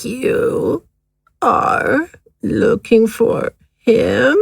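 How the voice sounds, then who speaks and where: Woman acting an eerie, creaky old mother. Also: on the high side, drawn-out words, dry recording, studio quality, exaggerated voice. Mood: eerie, wistful. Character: eerie elderly mother Mimi, channeling the dead. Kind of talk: audio horror drama acting.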